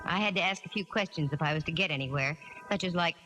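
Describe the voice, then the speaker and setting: A woman's voice: on the low side, fast, fairly bright tone, lightly acted. Actress as a wry American female private eye, reverberant radio. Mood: shrewd, wry. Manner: wry, hard-boiled, dry delivery. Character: wisecracking, tough female private eye narrating her case. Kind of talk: 1940s radio detective drama acting and narration. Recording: 1940s radio recording, reverberant, music behind.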